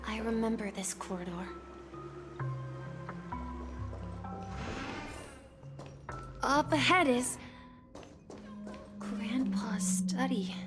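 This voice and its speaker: A gentle young boy tells his story in a game scene. He is sentimental and hesitant, taking his words slowly. Music plays clearly underneath. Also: a little high. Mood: wistful. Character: wistful, earnest young boy recalling his grandfather. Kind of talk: video game story acting.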